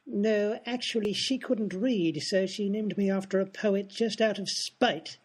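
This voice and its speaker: A man doing a prim, husky aristocratic lord. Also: sits high, steady tone, a little slow. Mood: haughty. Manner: formal, stiff and polite. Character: haughty aristocratic male lord, prim and formal. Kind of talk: comic radio play acting.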